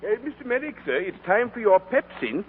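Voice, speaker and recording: A man's voice: high voice, sing-song, fairly quick. A man playing a timid, fussy, servile attendant to a tycoon, deferential and fretful, in 1940s radio comedy. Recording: tinny 1940s radio broadcast, audience noise.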